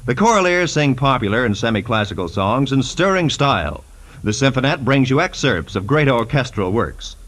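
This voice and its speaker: Animated American man, vintage radio announcer. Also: medium pitch. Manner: polished, formal promotional delivery. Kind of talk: 1940s radio announcing, scripted. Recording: vintage 1940s radio broadcast.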